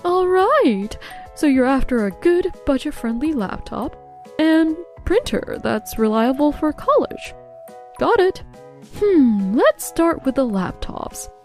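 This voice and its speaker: Eager, very high-voiced young saleswoman, played by a woman. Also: very animated, slow pace, a little mellow, faint music behind, heavily acted. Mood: helpful. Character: cheerful, eager young female sales newcomer. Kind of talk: animated manga dub voice acting.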